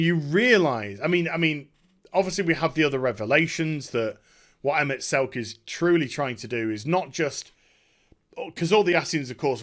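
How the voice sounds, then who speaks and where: A man chatting off the cuff about a video game as a streamer, effusive and overwhelmed, often halting, repeating himself and trailing off. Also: medium pitch, a bit bright. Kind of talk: unscripted rambling game review chat.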